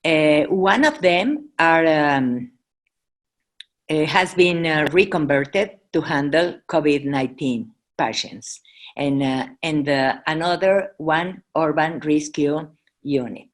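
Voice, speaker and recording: A woman with a Mexican accent, a native Spanish speaker, giving an informal presentation and halting as she searches for English words. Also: deep voice. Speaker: Red Cross presenter.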